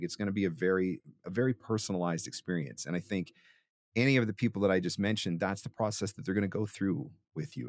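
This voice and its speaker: A man hosting a podcast, giving conversational advice emphatically, with rhetorical questions and frequent check-ins. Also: deep voice. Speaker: podcast host and voice-over coach.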